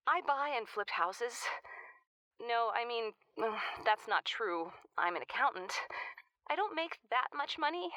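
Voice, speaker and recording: Danielle, bright, flustered radio caller. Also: female voice, fairly high pitch, no echo, low-quality recording. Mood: flustered, apologetic. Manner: hesitant, self-correcting, awkward. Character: Danielle, flustered, rambling amateur house-flipper calling a radio show. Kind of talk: naturalistic audio drama acting.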